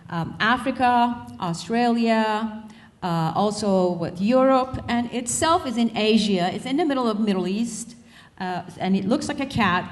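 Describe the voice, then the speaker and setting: A middle-aged woman born in Iran giving a conference talk, friendly and joking with the audience, with many filler sounds. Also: mid-range voice. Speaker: conference speaker. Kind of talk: public talk with slides. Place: college conference hall. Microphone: handheld microphone.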